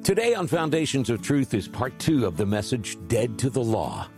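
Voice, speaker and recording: A male radio announcer reads a scripted program introduction. His mid-pitched voice moves with very lively intonation, and guitar music plays softly behind him. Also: normal speed.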